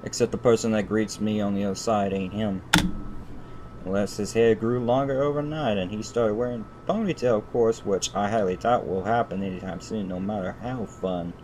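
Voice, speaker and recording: A man's voice: on the low side, normal speed, low-quality recording. A man livestreaming a game, reading its story text aloud and commenting in an irritated, heavily profane way. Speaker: video game streamer. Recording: steady background noise.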